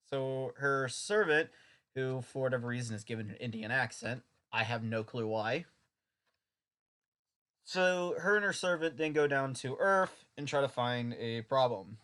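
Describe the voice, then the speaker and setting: A man's voice: medium pitch, a little slow, a bit bright, smooth. A young man reviewing an old anime dub in a casual, sarcastic, rambling way.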